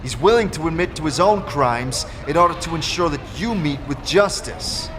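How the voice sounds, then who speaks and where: A man's voice: a little high, lively intonation, moderate pace, background noise, voice pushed up, natural voice. A man playing a blunt adventurer turned investigator in a fantasy audio drama, direct and firm in his questioning. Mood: firm, accusing.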